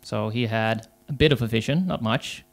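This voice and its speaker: Dutch-accented male conference speaker, rough-edged voice, halting delivery. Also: medium pitch. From Netherlands. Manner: hesitant, many fillers, pauses. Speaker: conference speaker, agile coach. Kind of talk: conference talk.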